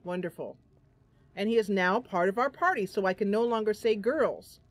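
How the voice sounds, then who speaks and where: A woman's voice: medium pitch, moderate pace, no echo. A woman giving casual, chatty let's play commentary, thinking aloud and reacting as she plays. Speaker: gaming channel host.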